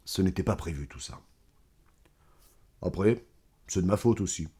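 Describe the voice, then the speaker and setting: Audio drama villain: an ageing corrupt boss, deep-pitched, falsely polite, condescending and cold. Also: male voice, warm tone, breathy edge, exaggerated voice. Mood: cold menace. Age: older. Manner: measured, sardonic, condescending. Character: older corrupt mayor and crime boss, coldly menacing, falsely courteous.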